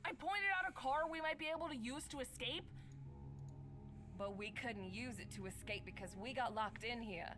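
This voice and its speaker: An actress playing a skeptical young woman who speaks in a dry, sharp, exasperated way. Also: fairly high pitch, moderate pace, noisy background, lightly acted. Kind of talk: game voice acting.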